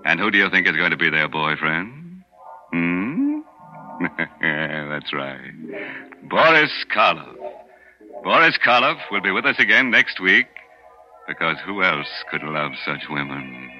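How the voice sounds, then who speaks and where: Macabre radio horror host, deep voice, bright edge, slow and teasing, muffled old broadcast with faint music. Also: male voice, big pitch swings, heavily acted. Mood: sly. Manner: chuckling, teasing, darkly joking. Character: sinister, mocking male horror host, gleeful and macabre. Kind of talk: 1940s radio acting.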